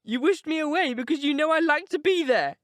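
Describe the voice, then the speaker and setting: A man's voice: expressive, brisk, fairly bright tone, no echo, clean studio sound. A man reading a classic novel aloud, voicing an infatuated young woman who is passionate and tearful, in a raised, pleading voice. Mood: petulant. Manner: pleading, high-pitched and emotional.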